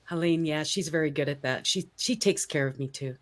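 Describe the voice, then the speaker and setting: A woman's voice: low-pitched, brisk. Upbeat woman hosting a talk show. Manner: upbeat, chatty, laughs often. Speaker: host. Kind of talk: live-stream talk show chat. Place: home in Colorado.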